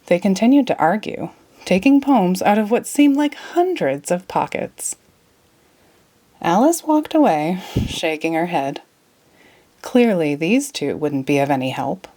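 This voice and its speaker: Expressive woman reading a children's storybook. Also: fairly low pitch, a little slow. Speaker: storybook narrator. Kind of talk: expressive children's read-aloud narration.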